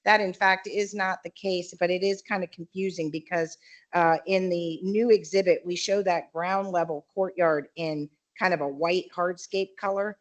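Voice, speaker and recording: Woman presenting a building plan at a county meeting, lowish voice, steady and explaining, lots of uhs. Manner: measured, explanatory, with frequent fillers. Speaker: developer representative presenting a project. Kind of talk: formal presentation at a public meeting.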